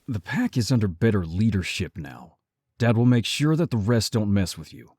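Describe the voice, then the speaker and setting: A man playing a protective werewolf brother in an audio roleplay, gruff, blunt and exasperated but caring. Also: fairly low pitch, big pitch swings, moderate pace, a little mellow, dry recording, clean studio sound. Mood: pleading, earnest.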